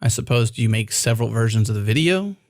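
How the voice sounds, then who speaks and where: A man's voice: mid-range voice, animated, fast, a little mellow. A man hosting a casual podcast interview. He asks probing questions and hedges as he goes, with phrases like "I suppose" and frequent restarts. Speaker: podcast host.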